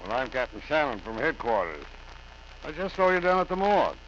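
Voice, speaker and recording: An actor playing a genial but probing police captain in an old radio drama, brisk and plain-spoken. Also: male voice, mid-range voice, sing-song, a bit bright. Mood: hearty, sympathetic. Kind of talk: 1940s radio drama acting. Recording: dull vintage radio, faint hum.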